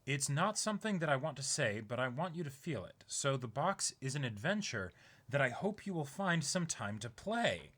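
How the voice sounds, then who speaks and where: A man's voice: medium pitch, sing-song, moderate pace, fairly bright tone. A young man co-hosting an unboxing show, chatting casually and sometimes reading aloud. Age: young adult. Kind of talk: casual chat, some letter reading. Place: indoor room, seated.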